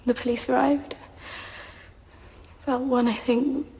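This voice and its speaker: High-voiced, faltering teenage girl. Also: flat delivery, vocal fry, warm tone. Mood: uneasy, subdued. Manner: halting, faltering. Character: frightened teenage girl testifying in court. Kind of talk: audio drama courtroom acting. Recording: echoey hall reverb.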